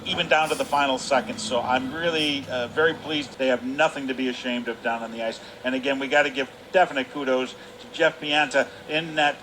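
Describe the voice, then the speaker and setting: A man's voice: medium pitch, steady tone, fairly quick, hardly any pauses, sharp tone, poor audio. A man giving conversational color commentary at a hockey game, repeating phrases for emphasis. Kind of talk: conversational sports analysis. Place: ice hockey arena. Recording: faint arena noise behind the voice.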